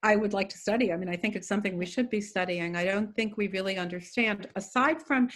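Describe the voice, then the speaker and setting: A woman's voice: mid-range voice, quick pace. An older woman lecturer answering audience questions over a video call, thoughtful and full of small hedges and dry asides. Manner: thoughtful, hedging, self-correcting with dry humor. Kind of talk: academic Q&A, conversational.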